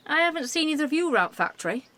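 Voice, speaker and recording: Woman acting cheeky, lively gossip. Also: high-pitched, heavily acted. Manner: chatty, blunt, informal. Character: nosy, cheeky, blokes-loving wartime delivery woman. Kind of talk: amateur radio play acting.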